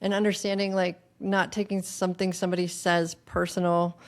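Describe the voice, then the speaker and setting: Woman, podcast host, lowish voice, reflective, searching for words. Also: flat delivery, a little fast. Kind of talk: conversational interview.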